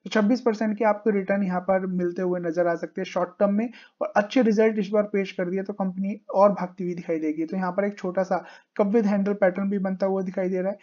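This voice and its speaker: Stock channel host talking over a chart share, flat steady delivery, muffled lo-fi mic. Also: medium pitch, fairly quick. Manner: explanatory, constantly pointing at the chart with "देखिए" and "यहाँ पर"; mixes English finance terms into Hindi. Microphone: voice-over recorded separately from screen capture.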